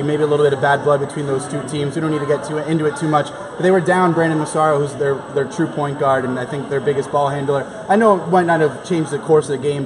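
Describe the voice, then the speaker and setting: Young male sports host in a noisy gym. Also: fairly high pitch. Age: young adult. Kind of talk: postgame interview commentary. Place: large indoor basketball gym. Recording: gym ambience with background crowd noise.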